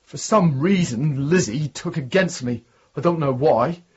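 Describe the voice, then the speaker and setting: An actor playing a young condemned prisoner in a radio drama, deferential and wounded, reluctant to confide. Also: male voice, a little high, unhurried, slightly rough, dull audio. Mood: pleading, guarded. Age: young adult. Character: haunted young condemned prisoner protesting his innocence.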